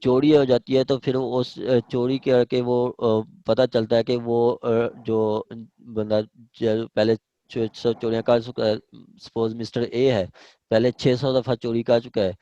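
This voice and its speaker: Male Pakistani lecturer, mid-pitched, dry sound; informal, repetitive, example-led explaining with frequent check-ins. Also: little pitch movement, measured pace, dark tone, dry recording, dull audio. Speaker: law teacher. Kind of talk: informal law lecture with questions.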